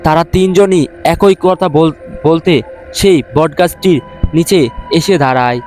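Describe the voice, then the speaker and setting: Male narrator, horror audio story. Slightly high voice, halting delivery with repeats, music underneath. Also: darkish tone. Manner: halting, often repeats words. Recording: music behind the voice.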